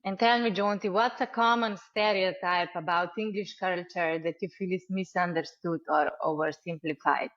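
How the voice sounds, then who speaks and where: A woman's voice: lowish voice, fairly even intonation, moderate pace, few pauses, muffled recording. A woman with a Slovene accent hosting a podcast interview, effusive and friendly, with many fillers. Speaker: podcast host.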